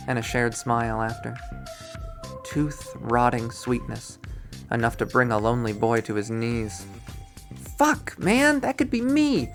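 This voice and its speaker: Expressive male narrator over music. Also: medium pitch. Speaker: visual novel readthrough player and narrator. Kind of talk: expressive scripted narration, reading aloud. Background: background music.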